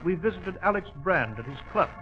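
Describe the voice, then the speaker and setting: An actor playing a bluff, loyal, easily puzzled older English gentleman in a 1940s radio drama, with a blustery British delivery. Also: high-pitched, expressive, a little fast, muffled recording. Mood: storytelling. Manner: blustery, exclaiming.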